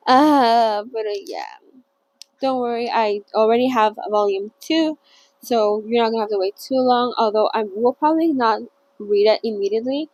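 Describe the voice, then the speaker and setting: A woman's voice: on the high side, moderate pace, a little mellow, no echo, poor audio. A woman hosting a casual read-along of a novel, chatty and a little self-conscious, with lots of fillers and laughs. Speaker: channel host reading a novel aloud.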